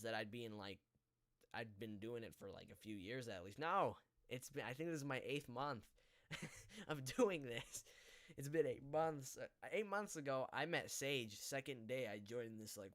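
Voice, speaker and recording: Male podcast host, clean smooth tone, informal live chat, meandering and halting in his phrasing. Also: fairly low pitch, a bit bright. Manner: hesitant, rambling, often trailing off mid-thought. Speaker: podcast host and amateur voice actor.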